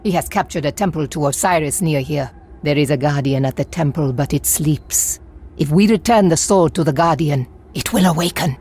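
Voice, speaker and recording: An actress playing a proud, resolute warrior leader in a video game, speaking with solemn command. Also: female voice, low voice, normal speed, a little mellow, breathy edge. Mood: solemn, explanatory. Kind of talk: video game cutscene acting.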